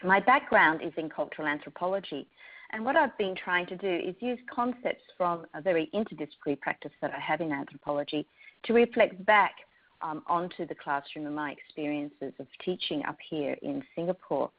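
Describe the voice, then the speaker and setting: A woman's voice: low-pitched, brisk. A woman with an Australian accent, a university lecturer giving a thoughtful talk with frequent ums and restarts. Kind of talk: prepared talk to educators. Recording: slightly dull lo-fi recording.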